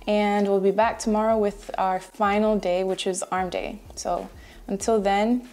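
A woman's voice: medium pitch, little pitch movement, unhurried, darkish tone. A woman in her thirties giving a casual, conversational walkthrough of exercises as a fitness vlogger, recorded in a room at home. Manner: conversational, explanatory. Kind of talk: casual instructional voice-over explaining exercises.

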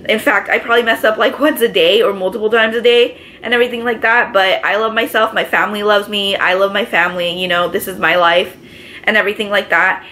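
Pregnant young woman vlogger, raspy allergy-clogged voice, rambling chatty delivery, faint room hum behind. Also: mid-range voice, steady tone, brisk, pushed and bright. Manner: chatty, lots of filler words, gestures with both hands. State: congested from allergies. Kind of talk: casual chat to camera. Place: living room, seated on a couch. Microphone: camera in front, medium framing.

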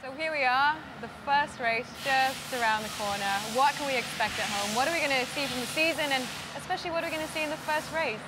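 A woman's voice: high voice, quick pace, hardly any pauses, noisy background. A young woman co-hosting a motorsport show and asking eager questions in interviews. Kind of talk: presenting and interviewing. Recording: music playing under the voice.

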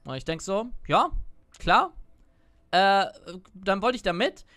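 A man's voice: high-pitched, very animated, takes it slowly, sharp tone, dry recording. A man in his twenties chatting casually to viewers in a rambling way as he comments on his gameplay, close to the mic. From born Italian. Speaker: gaming streamer. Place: indoor room at a gaming setup. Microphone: close desk microphone, wearing a headset.

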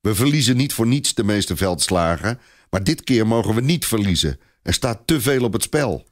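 A man voicing a gruff, indignant knight commander. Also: lowish voice, expressive, moderate pace. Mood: angry, bitter. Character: furious blustering Breton knight, proud veteran commander.

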